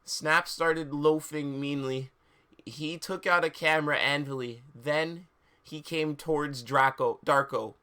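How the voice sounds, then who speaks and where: A man with a fairly high, bright and forceful voice hosts a reading stream. He reads fan fiction with theatrical drama and chats casually with his audience. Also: fairly even intonation, slow pace.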